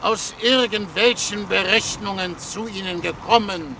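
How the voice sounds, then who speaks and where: A stately, self-important male foreign minister in a 1950s radio play. His voice is strikingly high and he proceeds slowly, in halting, ceremonious phrases. Loud storm noise surrounds him. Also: gravelly. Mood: solemn, pompous. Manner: pompous, halting, ceremonious oratory. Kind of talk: 1950s German radio drama acting.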